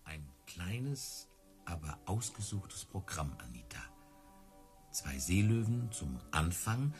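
An actor playing a proud, easily hurt circus tamer in radio play dialogue, earnest and touchy. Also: male voice, low-pitched, very animated, takes it slowly, faint music behind, full character voice. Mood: earnest, persuading.

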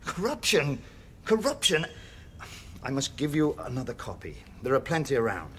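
An actor playing a zealous, pompous prison chaplain in a radio drama, with a declamatory way of preaching. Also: male voice, mid-range voice, wildly swooping pitch, moderate pace, a bit bright, slight background noise. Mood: disapproving. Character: fire-and-brimstone prison chaplain, zealous, pompous, troubled by doubt.

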